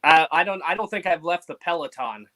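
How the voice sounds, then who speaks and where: A male sports writer chats as a guest on a radio show, relaxed and agreeable. His voice is a little higher than most men's. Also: brisk. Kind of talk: casual sports radio chat.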